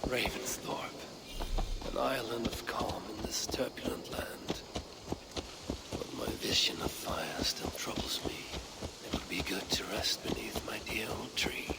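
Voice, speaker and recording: An actor playing a steady, loyal Viking warrior in a video game, speaking in an earnest, direct way. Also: male voice, on the low side, unhurried, vocal fry, in a whisper. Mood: hushed, brooding. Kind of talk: video game dramatic acting. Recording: game audio with loud background noise.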